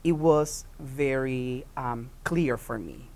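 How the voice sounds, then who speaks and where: A man from Puerto Rico, a theatre founder and director, answering interview questions in a halting way with many pauses and restarts. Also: medium pitch, a little mellow.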